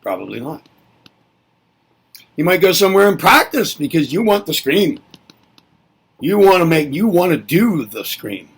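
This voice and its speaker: A man presenting a reflective spoken lesson with a gravelly, husky edge to his voice. He muses aloud, circles back on his points and answers his own rhetorical questions. His pitch rises and falls freely, sitting a little high for a man. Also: sing-song, measured pace, long pauses. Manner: musing, repeats himself, asks and answers rhetorical questions.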